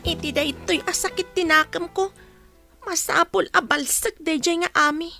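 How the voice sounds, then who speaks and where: Woman as vengeful schoolgirl, very high voice, sulky, complaining, audio drama, music underneath. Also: fairly even intonation, moderate pace, sharp tone, exaggerated voice. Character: bitter, envious, vengeful schoolgirl. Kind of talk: Ilocano audio drama acting.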